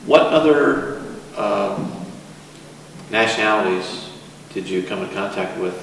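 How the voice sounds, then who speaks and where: A man interviewing a veteran, asking questions hesitantly and with pauses. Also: fairly low pitch, expressive, gravelly, fairly bright tone, a little hiss or hum. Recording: distant echoey hall recording.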